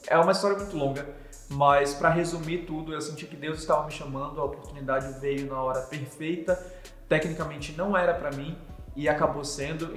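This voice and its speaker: A young man from Manaus with a Manaus Brazilian Portuguese accent, answering viewer questions in a chatty, earnest vlog. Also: on the high side. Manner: chatty, earnest, religious talk. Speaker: vlogger and missionary. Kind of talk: casual Q&A vlog. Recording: echoey room with long reverb, faint music.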